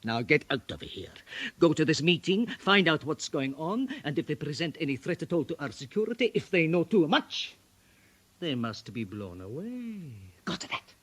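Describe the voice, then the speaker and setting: A man's voice: a little high, sing-song, moderate pace, a bit bright, no room sound. A man playing a bullying, hot-tempered Italian crime boss with an Italian accent in a comic radio drama, domineering and menacing. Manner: domineering, menacing, mixes Italian into his speech. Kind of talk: radio comedy drama acting.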